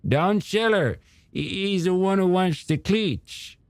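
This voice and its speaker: A male reader puts on a folksy small-town shopkeeper, pitched fairly high and swinging up and down. He is halting and gossipy, stumbling between phrases in an audiobook scene. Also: animated, no room sound. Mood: hesitant, gossipy. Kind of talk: audiobook character dialogue.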